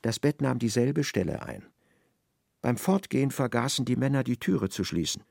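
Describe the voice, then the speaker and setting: A man narrating a literary radio drama in a measured, precise, dry manner. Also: medium pitch, lively intonation, vocal fry, a little mellow, dry recording.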